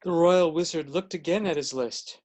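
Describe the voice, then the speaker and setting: A man with a fairly high voice serves as narrator. He reads scripted storybook lines for a readers theater piece. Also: moderate pace, a little mellow.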